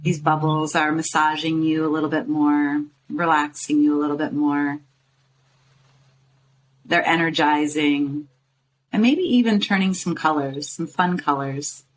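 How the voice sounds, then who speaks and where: Low-voiced woman guiding a meditation. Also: a little hiss or hum, low-quality recording. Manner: soothing, gently coaxing, unhurried phrases with pauses. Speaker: meditation guide and show host. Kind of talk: guided visualization meditation.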